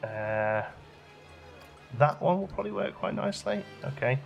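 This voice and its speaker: A man's voice: on the high side, big pitch swings, a little slow, vocal fry, muffled recording, faint music behind. A man giving casual gameplay commentary, chatting and musing aloud as he questions his own choices, as a let's play host.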